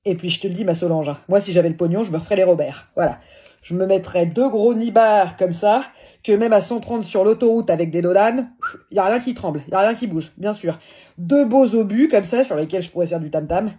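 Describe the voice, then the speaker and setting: A woman's voice: medium pitch, fairly quick, warm tone, lightly acted. A brash, foul-mouthed middle-aged aunt from the provinces, as a woman puts her on delivers a one-sided phone rant full of crude teasing. Her voice sits in the middle of the range, and she never lets up. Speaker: comedian. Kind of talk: comedy sketch monologue.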